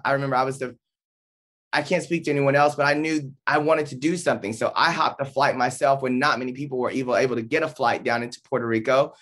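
A man's voice: medium pitch, a bit bright. A man talking as an interview guest, casual and rambling, often restarting his sentences.

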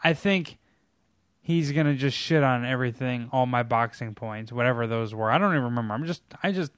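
Jokey podcast host, dry studio sound. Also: male voice, mid-range voice, brisk, a bit bright, clear, resonant tone, no echo. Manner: jokey, laughs a lot, swears. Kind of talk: casual chat.

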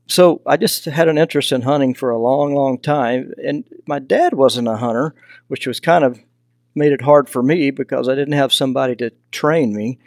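A man's voice: medium pitch, moderate pace, darkish tone, no room sound, studio quality. An older man from Texas with a Southern accent, telling stories in a casual, folksy way as an interview guest. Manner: folksy, anecdotal. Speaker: podcast guest, hunting mentor and foundation founder.

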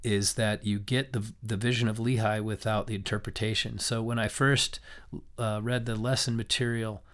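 A young man with a hoarse, raspy voice from a cold, teaching a recorded scripture lesson in a loose, thoughtful way with many restarts. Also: lowish voice, level tone, normal speed, a bit bright.

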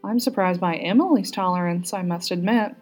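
A woman's voice: fairly low pitch, brisk, a little mellow, faint music behind, close to an everyday voice. A woman voicing a tipsy, haughty, commanding female prime minister in a storybook reading. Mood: wry, impressed. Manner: haughty, loosened by drink.

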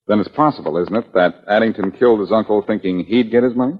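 A man's voice: fairly low pitch, fast, husky. A man playing a brash, wisecracking amateur detective in a 1940s radio drama, with a wry, needling and assured manner. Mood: probing. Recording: muffled, dull 1940s radio recording.

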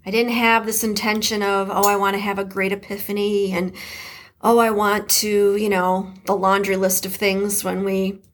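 A woman's voice: mid-range voice, little pitch movement, moderate pace. A middle-aged woman from Detroit with a Midwest accent, hosting her podcast in a chatty, emphatic way. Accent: Detroit Midwest American. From Detroit, USA. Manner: warm, chatty, emphatic, with laughs and shout-outs. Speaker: podcast host. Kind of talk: casual solo podcast talk.

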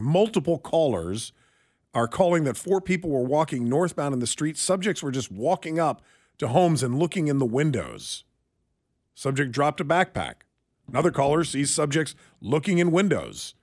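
A man's voice: fairly high pitch, sing-song, normal speed. An American man co-hosting a radio show in casual banter, telling stories in a wry, rambling way.

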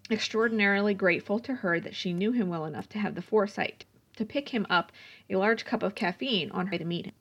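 A woman's voice: medium pitch, fairly bright tone. A woman reading a story aloud as a scripted audiobook-style narration. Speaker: guest story reader.

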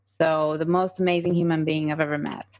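A woman's voice: low voice, level tone, brisk, highs cut off. A woman in her thirties from Colombia with a Colombian accent, a native Spanish speaker, talking earnestly as a podcast guest with many fillers and restarts. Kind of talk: casual podcast conversation.

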